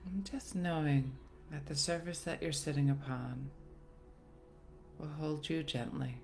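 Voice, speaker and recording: Female meditation guide, low register, very slow and gentle delivery, faint music underneath. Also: fairly even intonation. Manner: soothing, gentle, unhurried with long pauses. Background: soft background music.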